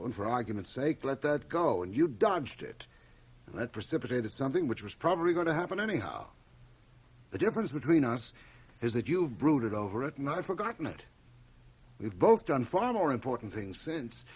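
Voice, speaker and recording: A man's voice: mid-range voice, darkish tone, slightly rough, exaggerated voice. An actor playing a self-important older businessman in a radio drama, brusque, blustering and dismissive. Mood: condescending. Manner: brusque, pompous. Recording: cassette transfer of 1980 radio drama.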